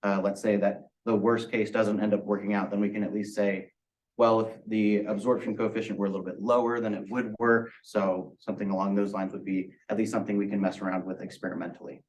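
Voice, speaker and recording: A man's voice: fairly low pitch, level tone, a little fast, a little mellow. A man giving a technical project presentation as a student presenter, explaining things step by step in a methodical way. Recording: distant microphone pickup.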